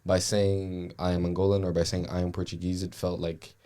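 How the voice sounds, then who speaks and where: Reflective young man, very deep voice. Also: monotone, a little fast, mellow. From France. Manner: reflective, hesitant with many uhs. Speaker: podcast co-host. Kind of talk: casual conversation.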